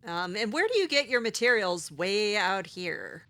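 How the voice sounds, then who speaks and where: A woman's voice: mid-range voice, big pitch swings, measured pace, a bit bright. A woman voicing her sarcastic, clever young adventurer character in a game, teasing as she talks.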